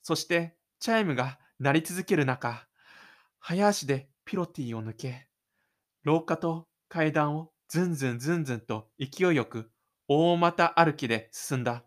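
A man's voice: on the high side, a little slow. A man narrating a scripted audio drama in a dramatic storytelling style.

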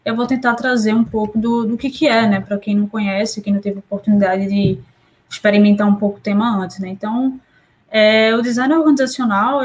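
Relaxed woman consultant on a webinar. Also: mid-range voice. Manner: relaxed, hesitant, repeats words. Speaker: consultant and Scrum Master. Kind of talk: casual webinar talk.

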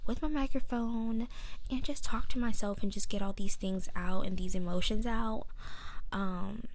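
A woman's voice: fairly even intonation, moderate pace, a little hiss or hum. Woman in her 20s, girlish voice, candid solo talk from her bedroom mic, emphatic with whispered asides. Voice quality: high, girlish voice. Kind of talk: personal monologue. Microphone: microphone in her room.

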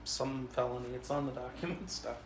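A woman in relaxed group chat with a teasing, playful way of talking. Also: rumbling low, brisk. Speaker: writing group member. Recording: very noisy, echoey room.

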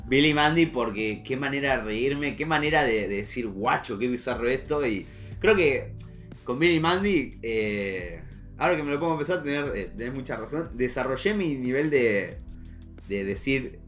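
A young man with an Argentine accent chatting casually as a podcast co-host, slangy and halting, with false starts. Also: medium pitch, animated, fairly bright tone, faint music behind. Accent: Argentine Spanish. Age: young adult. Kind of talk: casual chat.

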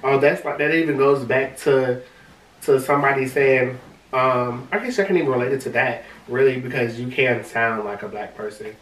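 A man with a high-pitched voice, co-hosting a casual podcast chat, talking in an animated way and often restarting his words. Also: normal speed. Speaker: podcast co-host. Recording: roomy sound from a distant mic.

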